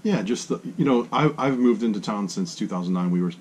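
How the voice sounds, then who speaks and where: A man's voice: lowish voice, lively intonation, a little fast, a little mellow, slight background noise. A man conducting an interview, asking questions hesitantly with many fillers. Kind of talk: casual interview.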